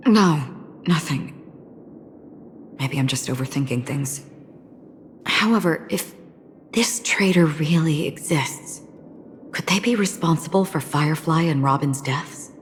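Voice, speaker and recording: An actress playing a cool, pensive, quietly vigilant woman in a video game, speaking in a subdued, deliberate way. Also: sits low, a little hiss or hum. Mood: guarded. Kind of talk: English video game voice acting. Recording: long reverb, game music behind.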